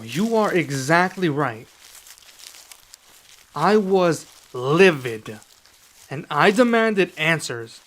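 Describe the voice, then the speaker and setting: A man playing a soft-spoken young dragon adventurer. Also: a little high. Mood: earnest. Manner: soft-spoken, measured, with pauses. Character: young male dragon adventurer, serious and guarded. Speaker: ASMR roleplay performer. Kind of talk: ASMR roleplay.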